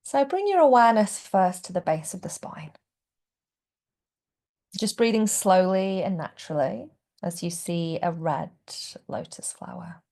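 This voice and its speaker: Slow, creaky-voiced woman guiding meditation. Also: on the low side, sing-song. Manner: gentle, unhurried, hushed phrase endings. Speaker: yoga nidra teacher guiding relaxation. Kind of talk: guided meditation.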